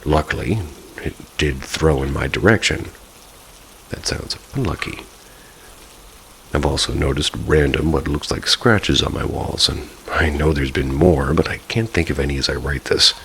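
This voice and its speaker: Very deep, gravelly male storyteller over rain. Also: moderate pace, a little hiss or hum, poor audio. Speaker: narrator reading listener-submitted ghost stories. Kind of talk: scripted first-person story narration for sleep. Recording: steady rain ambience behind the voice. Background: rain falling.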